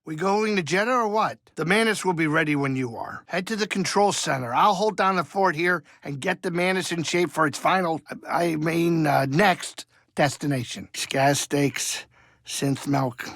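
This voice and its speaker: A man voicing a grumpy, fretful but soft-hearted older alien pilot and cook in a video game, with a colloquial, fussy, rambling delivery. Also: a little high, normal speed, no echo, studio quality. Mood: fussy, busy. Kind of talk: video game character dialogue.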